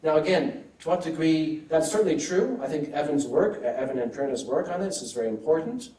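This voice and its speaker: A male academic answers audience questions at a measured, thoughtful pace, often adding a checking "right?". His voice is mellow and a little dark. It sounds distant from the mic, in a reverberant room. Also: a little high, lively intonation. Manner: thoughtful, frequent "right?" tags.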